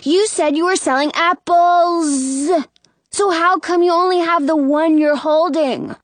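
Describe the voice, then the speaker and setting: Woman doing small boy, storybook kid brother, whiny, blunt, very high, animated. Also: unhurried, no echo. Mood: indignant. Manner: whiny, insistent. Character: cheeky, whiny little brother, hungry and blunt.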